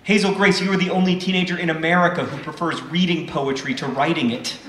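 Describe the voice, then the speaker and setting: A man's voice: on the high side, fairly even intonation, quick pace, recorded from a distance, voice pushed up, close to an everyday voice. A man voicing an eager, charming, intense teenage boy in a live book reading, with emphatic, declamatory delivery. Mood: excited, emphatic. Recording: roomy live event, faint background noise.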